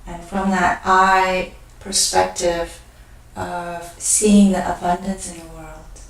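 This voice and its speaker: Slow, echoey woman guiding meditation. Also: lowish voice, little pitch movement, creaky. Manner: gentle, unhurried, pausing between short phrases. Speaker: guided meditation leader. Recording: very echoey room, distant mic.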